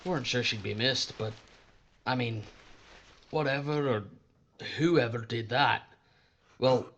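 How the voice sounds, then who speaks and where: An actor playing a plain-spoken, respectful underground station worker in an audio drama, with a working-class British accent. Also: male voice, medium pitch, slow pace, a bit bright, dull audio. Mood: shaken, sombre. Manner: colloquial, respectful.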